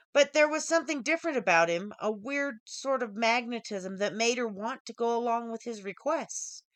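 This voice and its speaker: A woman's voice: fairly high pitch, fairly bright tone, no echo. A woman author reading her own short story as scripted audiobook narration.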